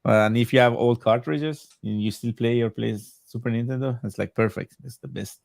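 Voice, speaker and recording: Male guest, Farsi first language, halting casual speech, gravelly tone, dry close sound. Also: mid-range voice, a little mellow, no room sound. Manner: halting, many fillers and restarts. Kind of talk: casual video-call chat. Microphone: video call.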